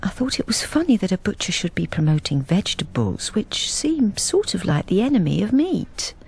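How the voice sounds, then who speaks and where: An actress playing a timid, self-effacing, lonely British wife in a radio drama, confiding in a plain, hesitant, apologetic way. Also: female voice, mid-range voice, big pitch swings, moderate pace, vocal fry, a little mellow, husky, exaggerated voice. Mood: wistful confiding. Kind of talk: radio drama with intimate first-person narration.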